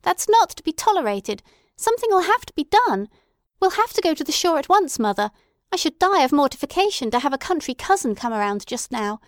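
A spoiled young woman whines and demands in a high, shrill voice, put on by a woman reading aloud. She sounds petulant and emphatic, pushed well above her own register and louder. The recording is dry and close, with no room sound. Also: big pitch swings, fairly quick, no echo. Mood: indignant. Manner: whiny, indignant, emphatic.

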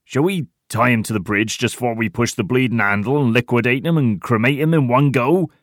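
A man voicing a rough, bloodthirsty, grumbling soldier in a war novel, coarse and loud. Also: lowish voice, big pitch swings, measured pace, no room sound, clean studio sound. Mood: gleeful.

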